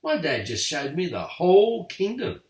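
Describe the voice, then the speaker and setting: An eager young lion cub, high-pitched and bouncing with excitement, as an older grandfather puts him on. It sounds echoey and distant from the mic, a bedtime storybook voice. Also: moderate pace. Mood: boastful. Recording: echoey room, far from mic.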